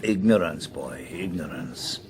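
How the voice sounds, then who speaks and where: An actor playing a shrewd, scornful Welsh politician in a radio drama, opinionated and lecturing. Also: male voice, low-pitched, animated, a little slow, a little mellow.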